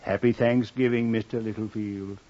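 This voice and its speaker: An actor playing a polite, secretive gentleman doctor with a coy, genteel manner in an old-time radio drama. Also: fairly low pitch, little pitch movement, normal speed, natural voice. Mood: affable, generous. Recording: muffled old radio recording.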